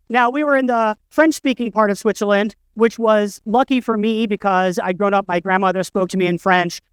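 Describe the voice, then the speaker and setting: A man's voice: high-pitched, clear, resonant tone, no room sound. A middle-aged man giving a conference talk, telling stories with wry, self-deprecating humor. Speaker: conference speaker. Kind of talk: storytelling conference keynote. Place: conference stage, indoors.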